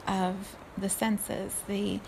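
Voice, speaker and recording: Reflective woman in her forties, gravelly voice. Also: medium pitch, completely flat delivery, a little hiss or hum. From South Africa. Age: 40s. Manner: unhurried, thoughtful, laughs softly at herself. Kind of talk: reflective solo talk.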